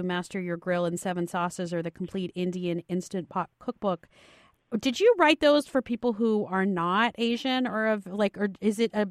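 A woman hosting a radio talk show, asking her guests questions and leading into a break in a smooth, clear announcing manner. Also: mid-range voice, no echo, clean studio sound. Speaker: radio host.

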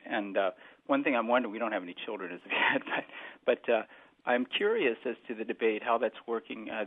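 A male caller on a radio call-in show, polite and halting, who hesitates often. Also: lowish voice. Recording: tinny phone line.

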